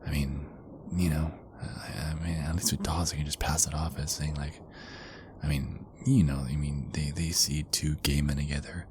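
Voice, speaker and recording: A man playing an ardent, nervous werewolf boyfriend in an ASMR roleplay, halting and repeating himself as he pleads. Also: very deep voice, level tone, background noise. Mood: tender, earnest.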